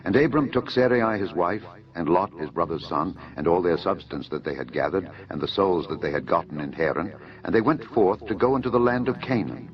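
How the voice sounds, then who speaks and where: A man narrating scripture in a solemn, measured, formal declamatory style, as for an audio Bible. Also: sits low. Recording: dull lo-fi audio under music. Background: music.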